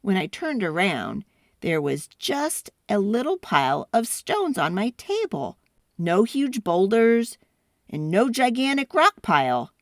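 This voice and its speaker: A woman hosting a children's read-aloud, speaking with expressive, deliberate, dramatic storybook phrasing. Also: medium pitch, no echo, clean studio sound.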